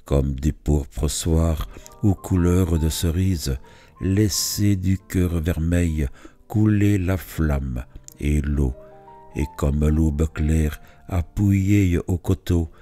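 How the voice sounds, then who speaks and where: A man reciting literary poetry in a measured way, phrase by phrase with pauses between them. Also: extremely low pitch, mellow, faint music behind. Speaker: poetry reader.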